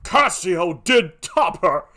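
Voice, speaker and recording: Jealous, commanding male murderer from Shakespearean tragedy acted by a solo performer, anguished and menacing, slow heavy declamation, forceful tone. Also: medium pitch, sing-song, heavily acted. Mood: bitter rage. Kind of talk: classical stage tragedy performance.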